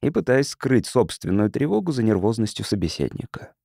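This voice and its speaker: Male narrator for literary audiobook; mellow, animated intonation, measured storytelling, dry close mic. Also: on the low side, creaky, dry recording. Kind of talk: scripted literary narration, reading a science fiction story.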